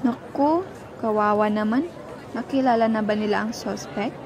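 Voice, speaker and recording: A woman's voice: mid-range voice, normal speed, a little mellow, some chatter behind, natural voice. A woman playing a kindly but firm neighbourhood storekeeper in an amateur radio drama. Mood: concerned. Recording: noisy homemade recording with clicks.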